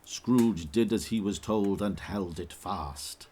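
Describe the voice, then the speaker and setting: A man narrating an audio drama in measured, vivid storytelling. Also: sits low, noisy background.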